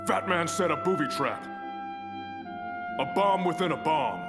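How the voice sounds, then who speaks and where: An actor playing a weary, fatalistic bomb disposal expert in a video game drama, earnest and rueful. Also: male voice, on the high side, lively intonation, a little slow, big-room sound, loud music behind the voice. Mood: resigned.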